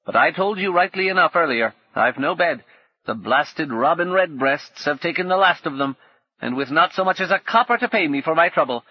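A bitter, rueful old woman, as a male narrator puts her on speaks up emphatically, her voice lifted above his own and pushed a little louder. The tone is husky, and the recording is dull and lo-fi. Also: a little high, animated, moderate pace, no echo, voice pushed up. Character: rueful old woman fallen on hard times.

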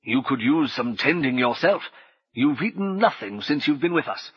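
A man's voice: mid-range voice, lively intonation, moderate pace, husky, no echo, dull audio. A man voicing a kindly old male professor who pilots an airship, fussing and calling out, in an audiobook.

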